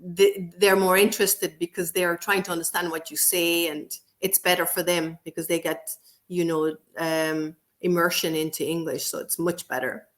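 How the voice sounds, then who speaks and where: Low Irish woman, thoughtful chat. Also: flat delivery, moderate pace, fairly bright tone. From Ireland. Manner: thoughtful, hedging with "let's say". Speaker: co-host, teacher. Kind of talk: casual chat.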